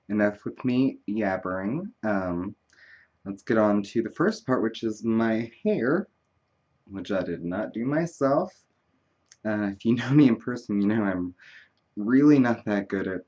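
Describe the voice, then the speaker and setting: A man's voice: lowish voice, darkish tone, dull audio. A man hosting a makeup tutorial, chatting casually in a wandering, self-deprecating way. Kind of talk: casual rambling tutorial chat.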